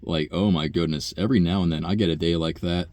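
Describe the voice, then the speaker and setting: A young man talking through a rambling, casual personal monologue, profane and full of fillers and sighs, with a low buzzy voice. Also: fairly even intonation, fast talker, darkish tone. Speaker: vlogger.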